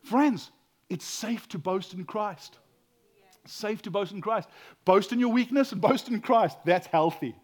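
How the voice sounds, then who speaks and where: A man with a loud voice preaching a sermon as pastor, swinging between hushed whispers and loud exclaiming, often stammering and repeating words. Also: sits high, unhurried.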